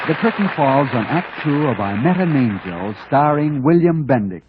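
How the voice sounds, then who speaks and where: A man announcing as host of an old radio show in a formal, courteous showman's manner, with a husky voice. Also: medium pitch, animated, moderate pace, very noisy. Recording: tinny vintage radio recording.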